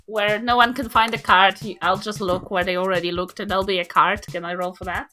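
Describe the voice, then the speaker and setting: A woman's voice: mid-range voice, fairly even intonation, fairly quick, pushed and bright, soft background music. A woman playing a tabletop role-playing game, chatting casually with the other players.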